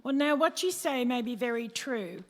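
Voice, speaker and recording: Underworld messenger played by a woman: high voice, anxious and deferential, radio-play verse, reverberant hall. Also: lively intonation, moderate pace, lightly acted. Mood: apologetic. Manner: pleading, apologetic. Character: deferential, anxious messenger of Hades summoning Nero. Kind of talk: rhymed radio play acting. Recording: reverberant hall, music behind.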